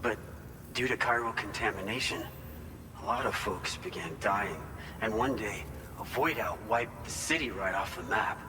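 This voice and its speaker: Guy acting as polite earnest game staffer dude, kinda low voice, explaining stuff, big echo, noisy background. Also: moderate pace, close to an everyday voice. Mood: grave. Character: earnest male facility staffer briefing a porter, grateful and hopeful. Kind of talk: video game cutscene dialogue.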